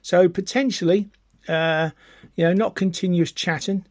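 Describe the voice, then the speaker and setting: A man's voice: high-pitched, fairly even intonation, unhurried, dry recording. A man casually presenting a hands-on product demonstration, chatty and explanatory, repeating points for emphasis. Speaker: amateur radio hobbyist presenting a gear review.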